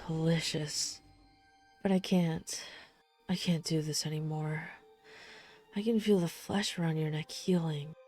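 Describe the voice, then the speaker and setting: A woman acting as a tormented female vampire hunter in an intimate roleplay drama. Her voice is lowish and mellow, and she speaks very slowly, with halting, dramatic pauses. She sounds burdened by guilt and a growing darkness. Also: natural voice. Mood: awed, hungry. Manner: slow, halting, dramatic pauses, breathy sighs.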